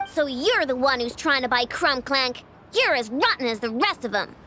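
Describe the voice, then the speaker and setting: A woman playing a feisty, blunt young girl inventor in a video game, with a childlike, expressive delivery. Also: high voice, moderate pace, slight background noise. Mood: angry, suspicious.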